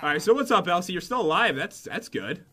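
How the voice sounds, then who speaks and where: A man hosting a gaming video with casual, playful commentary in an excitable, teasing manner. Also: high-pitched, fast, noisy background.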